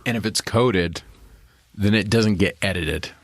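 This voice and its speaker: A man's voice: lowish voice, unhurried. A man hosting a podcast, talking in a curious, conversational way and thinking aloud with his guest. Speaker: podcast host.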